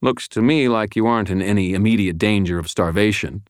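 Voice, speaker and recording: A man's voice: lowish voice, fairly quick, smooth, no room sound, voice pushed up, close to an everyday voice. A man playing a dry, tough, wisecracking detective in an audiobook, with a casual, sardonic way of talking.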